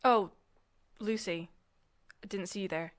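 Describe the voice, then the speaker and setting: A woman's voice: medium pitch, a little slow, lightly acted. A woman playing a cold, dismissive young student theatre director in an audio drama, with a sarcastic way of talking. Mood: cold, scornful.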